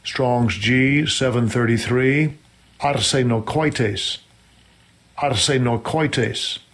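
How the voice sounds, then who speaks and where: A man's recorded voice played back from a website, reading a reference entry slowly and deliberately, word by word. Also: mid-range voice, fairly bright tone. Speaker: website pronunciation audio. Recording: played back from a computer speaker.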